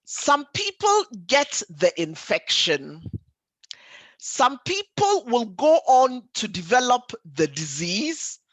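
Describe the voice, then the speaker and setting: A woman's voice: on the high side, sing-song, takes it slowly, a bit bright, no room sound, poor audio. A woman doctor presenting a health webinar, explaining things for lay listeners in an emphatic, didactic way, repeating key points and asking rhetorical questions. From Ghana or the Caribbean.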